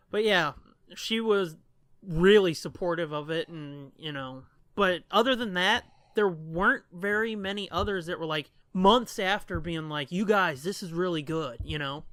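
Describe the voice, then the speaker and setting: Male podcast co-host, high-pitched and bright, candid rambling talk with easy profanity, very dry sound. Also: unhurried, no echo. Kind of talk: casual podcast chat.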